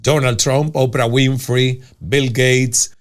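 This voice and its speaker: A man's voice: medium pitch, level tone, takes it slowly. A man with a Cuban Spanish accent and Spanish as his first language, hosting a casual interview, earnest and emphatic, often restarting his words. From Cuba. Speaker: podcast host.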